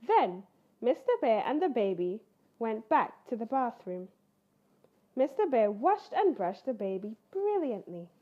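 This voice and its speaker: Very animated, high-voiced woman reading a bedtime story. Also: a little slow, muffled recording. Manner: animated, acts out character voices. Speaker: storyteller reading a picture book aloud. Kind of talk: expressive children's bedtime story read-aloud.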